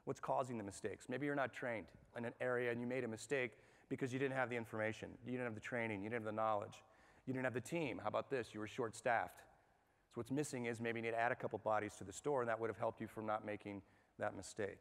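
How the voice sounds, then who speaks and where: A man giving a lively workshop talk to a live audience, asking them questions and talking in a conversational way. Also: medium pitch, fast talker, vocal fry. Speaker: speaker at a management workshop. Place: large hall with an audience. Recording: long hall reverb.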